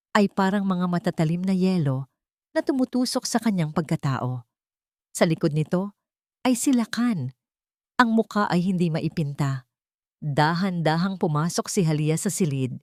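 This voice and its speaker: A woman's voice: fairly low pitch, darkish tone, no room sound, clean studio sound. A woman narrating a scripted romance audio drama in a dramatic storytelling style.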